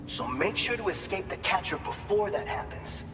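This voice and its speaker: An actor playing an encouraging male mission support officer in a video game, explaining things clearly and supportively. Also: high voice, animated, normal speed. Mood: briefing, serious. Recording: long reverb, loud music behind.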